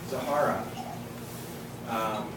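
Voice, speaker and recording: A man hosting an author event, introducing the guest and reading aloud in an informal, halting way. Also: fairly low pitch. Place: indoor public reading event, far from the mic. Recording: echoey room, distant mic, noisy.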